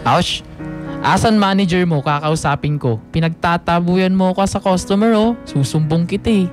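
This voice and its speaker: A man's voice: high voice, moderate pace, close to an everyday voice. Man acting lovestruck young Filipino student, music underneath. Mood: mock-hurt, playful. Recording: music clearly playing underneath. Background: background music.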